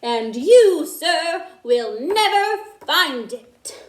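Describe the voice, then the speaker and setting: A woman's voice: extremely high pitch, big pitch swings, extremely slow, pitched above the performer's own voice, exaggerated voice. A woman voicing a stern, indignant old grandmother from a storybook, speaking in an emphatic, scolding way. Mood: defiant. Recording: echoey room.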